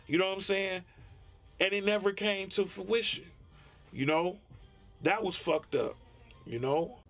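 A man's voice: high-pitched, a little slow, fairly bright tone. A middle-aged man, talking emphatically and profanely in a candid interview. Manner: emphatic, profane, tags phrases with "you know what I'm saying". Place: small foam-padded recording studio. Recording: faint music behind the voice.

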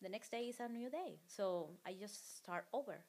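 A woman in her thirties, native Spanish speaker with a Spanish accent, answering interview questions earnestly with some pauses, on a handheld mic in a small office. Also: medium pitch, animated, darkish tone. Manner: earnest, halting. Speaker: guest parent. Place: small school office, indoors.

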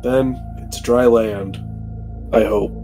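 A man's voice: medium pitch, steady tone, takes it slowly, over music. A man playing a tabletop game, sardonic and self-mocking, swearing casually. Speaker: player.

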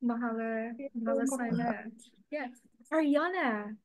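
A woman's voice: a little high, measured pace, no echo. A woman hosting an online alumni meetup over a video call, chatty and friendly, with many fillers. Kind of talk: casual moderating on video call.

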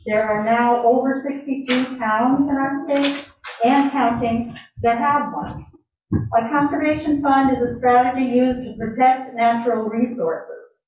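A woman's voice: sits high, steady tone, unhurried, darkish tone, a little hiss or hum. A woman giving a public comment at a town meeting, reading prepared remarks with some hesitations. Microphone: standing at a floor mic in a large room. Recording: echoey room, voice from far off.

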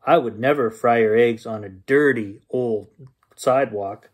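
A man reading aloud gives a cheerful, literal-minded storybook helper woman, with a bright, slightly raised voice. She answers every question brightly and innocently. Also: mid-range voice, measured pace, natural voice.

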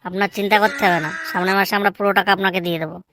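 A woman's voice: mid-range voice, fairly even intonation, very fast, fairly bright tone, slight background noise, natural voice. A woman voicing a cheeky, crude village schoolboy in a comedy cartoon. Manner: cheeky, blunt excuse-making.

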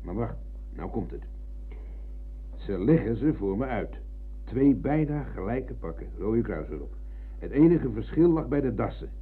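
An actor playing a proud, touchy older comrade and former prisoner of war, insistent and emphatic, in a Dutch radio drama. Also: male voice, mid-range voice, sing-song, measured pace, pauses often, slightly rough. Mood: reminiscing, eager. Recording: muffled, dull old radio recording.

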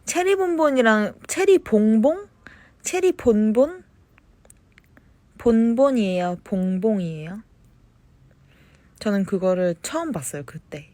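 A young woman chatting casually on a phone livestream, reading fan comments and answering them softly, at times slipping into whispers. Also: fairly high pitch, animated, dry recording. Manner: slow, soft-spoken, drifts into whispers. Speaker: idol livestreaming to fans.

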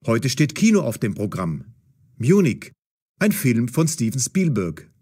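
A man narrates a story for language learners. His mid-pitched voice is a little breathy and husky, with a lively, dramatic melody over short sentences. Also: a little slow.

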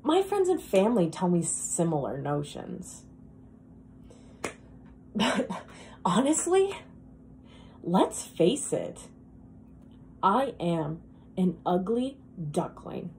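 A woman performing a dramatic monologue as a wistful, self-deprecating woman who longs to be beautiful, speaking in a halting, confessional, emphatic way. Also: lowish voice, huge pitch swings, a little mellow, a little hiss or hum, full character voice. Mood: earnest, frustrated.

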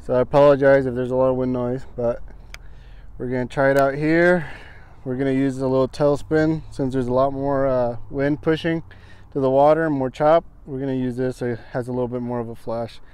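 A young man casually narrating his fishing trip outdoors, relaxed and chatty as he explains what he is doing. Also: mid-range voice, brisk, clean tone. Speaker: fishing vlogger. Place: outdoors by a pond on a windy day. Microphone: camera-mounted mic, point-of-view and selfie framing. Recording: faint wind and outdoor noise.

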